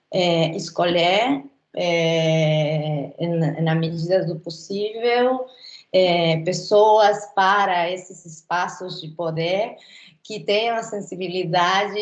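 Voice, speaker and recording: Reflective woman in an echoey room. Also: mid-range voice. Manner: reflective, hesitant, many restarts. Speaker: invited guest artist. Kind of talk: online panel talk. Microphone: video call.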